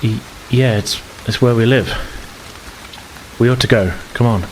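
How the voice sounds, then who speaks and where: A man's voice: medium pitch, measured pace, slight background noise, voice pushed up, close to an everyday voice, rough recording. A man voicing a wary stranger who lives in the wild, speaking in short, hesitant sentences in a tabletop game. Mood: wary, urging.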